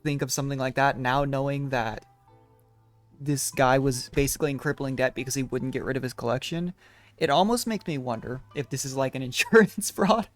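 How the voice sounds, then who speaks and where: A man's voice: medium pitch, steady tone, brisk, soft background music. A man giving casual let's play commentary, musing and sympathetic, with a hesitant delivery close to the microphone. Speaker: streamer reacting to a game.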